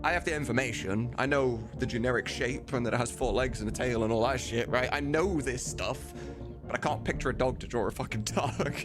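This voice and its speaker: A man's voice: medium pitch, a little fast. A man hosting a casual livestream, talking informally and swearing now and then as he drifts between work and chat. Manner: informal, sweary, easily sidetracked. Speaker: livestream host and voice mod editor. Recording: music behind, computer typing. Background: music and keyboard clicks.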